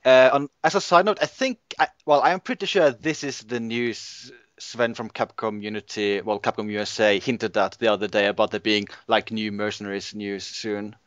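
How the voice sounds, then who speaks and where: Podcast host, male, halting and filler-heavy, dry dull home recording. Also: fairly low pitch, steady tone, a bit bright, dry recording. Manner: hesitant, lots of restarts and fillers. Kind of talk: casual gaming podcast chat.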